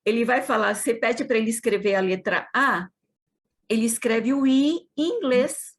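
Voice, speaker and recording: A woman's voice: on the high side, moderate pace. A woman from Brazil who teaches Portuguese to children, explaining eagerly with many restarts in a casual panel talk. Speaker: heritage Portuguese teacher in Japan.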